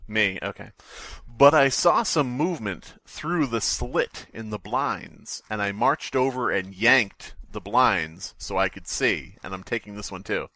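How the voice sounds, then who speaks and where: A man's voice: on the low side, lively intonation, unhurried, few pauses, pushed and bright, no echo. A man co-hosting a podcast in casual chat, reading stories aloud and commenting with wry skepticism. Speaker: podcast co-host.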